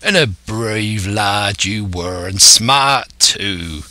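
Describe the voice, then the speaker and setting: A man's voice: fairly low pitch, very slow, pushed and bright, no room sound, exaggerated voice. A man voicing a scheming, domineering older pirate ringleader who speaks emphatically and scornfully in a salty seafaring dialect. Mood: flattering.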